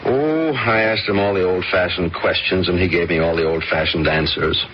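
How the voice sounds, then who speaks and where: An actor playing a measured, questioning wealthy father in an old radio drama. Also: low-pitched, natural voice. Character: wealthy middle-aged father, probing and dry. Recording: dull old radio recording, faint hiss.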